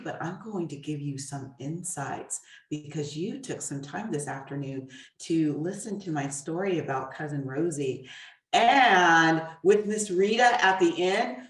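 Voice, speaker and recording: A woman with a slightly low voice reads a picture book to children. She speaks deliberately and expressively, leaving pauses between phrases. The sound is roomy and echoey, picked up at some distance from the mic. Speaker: guest author reading her book. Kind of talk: children's storybook reading. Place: echoey room, away from the mic.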